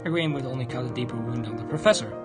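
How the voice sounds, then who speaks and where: A male game streamer reads visual novel narration aloud at a middle pitch. He stumbles through the text in a halting way, with clear music playing behind him. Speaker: game livestreamer.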